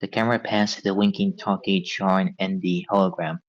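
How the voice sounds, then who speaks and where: A man's voice: low voice, flat delivery, normal speed. A man narrating stage directions from a script at a table read. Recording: dull, lo-fi audio.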